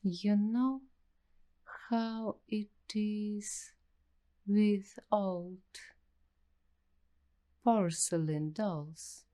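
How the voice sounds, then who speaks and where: A woman playing an uneasy, paranoid young waitress in an ASMR roleplay, speaking in hushed, deliberate, halting phrases with suspenseful pauses. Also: mid-range voice, steady tone. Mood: eerie wonder. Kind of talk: slow ASMR roleplay storytelling.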